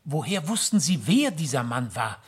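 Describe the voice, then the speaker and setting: An actor playing an anxious bank director in a radio play, formal, earnest and full of wonder, with a husky voice. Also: male voice, a little high, very animated, normal speed, full character voice. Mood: astonished, questioning. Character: anxious, astonished, grateful male bank director client.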